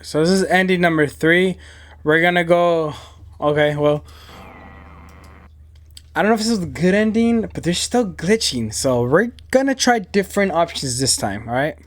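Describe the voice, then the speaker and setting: A man's voice: on the high side, expressive, normal speed. A man hosting a reaction video with informal, slangy, casual commentary.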